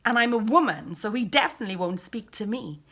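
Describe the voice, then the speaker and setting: A woman's voice: fairly high pitch, animated, a little fast. A woman performing a first-person dramatic monologue as a weary outcast Samaritan woman, confiding and dropping to whispers. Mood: recounting. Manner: confiding, shifts between loud cries and hushed whispers.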